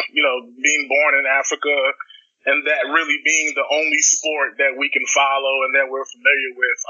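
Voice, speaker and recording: A man from the Congo, a regular contributor, chatting in a relaxed way and saying "you know" a lot. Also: mid-range voice. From Democratic Republic of Congo. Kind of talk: casual podcast chat. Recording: muffled call audio.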